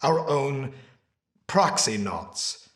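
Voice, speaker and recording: A man's voice: medium pitch, very animated, extremely slow. An actor playing a driven, grandiose male scientist in an audio drama, speaking formally and emphatically. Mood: impassioned speech.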